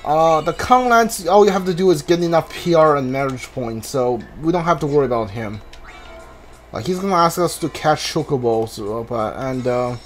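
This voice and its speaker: A man with a somewhat high voice talks through a video game playthrough. He thinks aloud in a halting, casual way, often repeating words. Game music plays behind him. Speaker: gamer, playthrough host.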